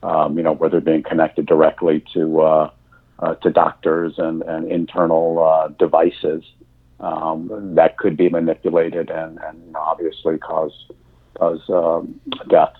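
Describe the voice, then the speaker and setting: Deep man on a tinny phone line. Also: completely flat delivery, breathy edge. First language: German. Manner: halting, many fillers and restarts. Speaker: insurance industry guest. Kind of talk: podcast interview answers.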